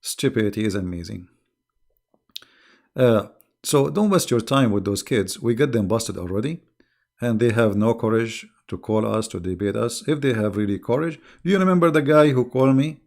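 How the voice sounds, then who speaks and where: A man whose first language is Arabic talks straight to his viewers in a loose, mocking monologue. His voice is gravelly and sits at a middle pitch. He jumps between scorn and amusement as he speaks. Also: normal speed, a little mellow. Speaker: online religious debater.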